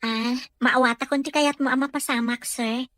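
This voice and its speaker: A woman's voice: high-pitched, steady tone, normal speed, a bit bright, no room sound. A woman with a Filipino accent playing an earnest, deferential nurse in a radio drama. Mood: polite, concerned. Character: deferential female nurse caring for her boss, earnest. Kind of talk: Ilocano radio drama acting.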